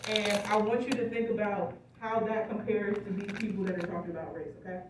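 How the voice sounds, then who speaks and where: A woman's voice: medium pitch, fairly even intonation, brisk. A woman teaching a college class, explaining step by step and often checking that the students follow. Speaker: college instructor. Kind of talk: classroom lecture. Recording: echoey classroom, distant phone recording, background noise.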